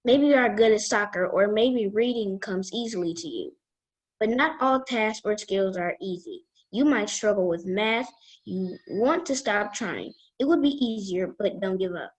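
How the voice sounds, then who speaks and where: A woman guest reader reading a children's book aloud in a deliberate way. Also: medium pitch, animated, low-quality recording.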